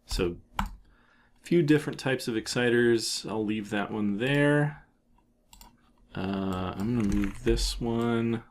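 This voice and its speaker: A man presenting a software tutorial in a casual, unscripted way, thinking aloud as he explains what he is doing. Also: medium pitch, slow pace, pauses often, a little hiss or hum. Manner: informal, thinking aloud with trailing phrases.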